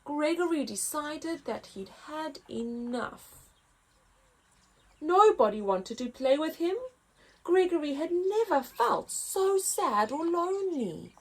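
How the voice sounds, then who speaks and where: A woman reading a children's story aloud as a story time host, with expressive, dramatic emphasis. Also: high-pitched, slow, rough recording.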